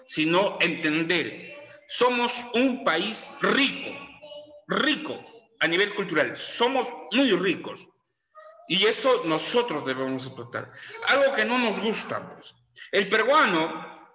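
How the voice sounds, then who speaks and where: A man's voice: sits high, sharp tone. A middle-aged Peruvian man lecturing a class in a chatty way, dropping lots of tag questions and fillers. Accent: Peruvian Spanish. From Peru. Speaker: teacher. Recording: echoey room with long reverb.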